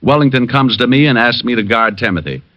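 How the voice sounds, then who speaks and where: Guy playing a cocky private eye, dry jokes, lively voice, muffled old radio. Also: medium pitch, fast talker, dry recording, natural voice. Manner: dry wisecracks, easygoing. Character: wisecracking male private detective, cool and cocky. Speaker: narrator and lead. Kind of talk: 1950s radio drama acting. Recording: dull old radio broadcast.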